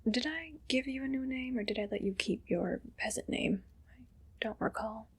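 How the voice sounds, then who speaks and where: A woman playing a haughty, condescending evil queen in a whispered ASMR roleplay, breathy and deliberate. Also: on the high side, lively intonation. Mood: smug, condescending. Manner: slow, deliberate, imperious and condescending.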